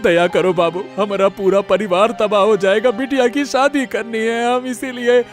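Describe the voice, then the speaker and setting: A man's voice: high-pitched, fairly even intonation, moderate pace, soft background music, natural voice. A man voicing a poor, honest village labourer and devoted father in a cartoon, earnest and repetitive in his speech. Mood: desperate begging. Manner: stammering, pleading, repeats words. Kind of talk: Hindi moral-story cartoon voice acting.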